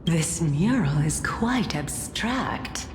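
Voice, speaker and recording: An ancient female spirit from a video game, lowish in voice and very slow. She is languid, formal and teasing, serenely amused as she probes. Her words ring in a long reverb. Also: animated, a little hiss or hum, heavily acted. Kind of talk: dramatic video game voice acting. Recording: long hall reverb with music underneath. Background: game music.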